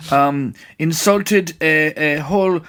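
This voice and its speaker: An American man co-hosting a casual podcast, halting and self-deprecating. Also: on the high side, fairly bright tone, slight background noise. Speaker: podcast co-host.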